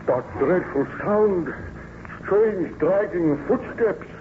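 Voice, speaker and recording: A man's voice: high-pitched, slow. A man playing a tormented, guilt-ridden, defiant scientist in theatrical, emphatic old-time radio melodrama style. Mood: dread. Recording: noisy, dull vintage radio recording.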